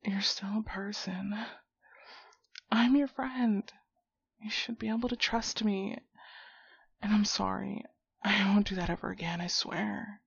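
Husky, foul-mouthed young woman friend, acted out by a woman. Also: medium pitch, steady tone, measured pace, pauses often, no echo, lo-fi sound. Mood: remorseful. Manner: slangy, profane, talking directly to a buddy. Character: foul-mouthed, hot-tempered but protective young friend, finding shrunken buddy. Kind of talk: immersive one-sided audio roleplay drama.

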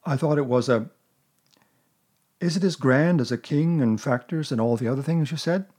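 A man doing a gentle simple toy bear. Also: medium pitch, normal speed, slightly rough, natural voice. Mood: puzzled.

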